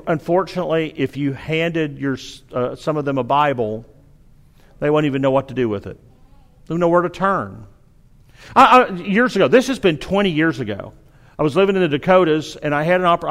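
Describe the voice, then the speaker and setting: A man's voice: fairly high pitch, expressive, a little fast, fairly bright tone. A middle-aged man with a Southern accent from Arkansas, preaching a sermon in an earnest, exhorting way, often restarting his sentences.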